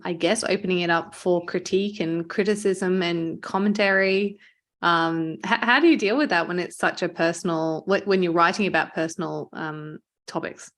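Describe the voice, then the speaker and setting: Female podcast interviewer, slightly low voice, conversational delivery. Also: fairly bright tone.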